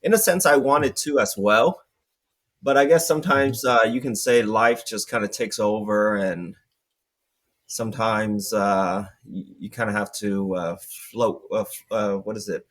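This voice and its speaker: A man in his forties from Vietnam, a guest entrepreneur talking casually in an interview, relaxed and rambling with many fillers. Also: on the low side, measured pace.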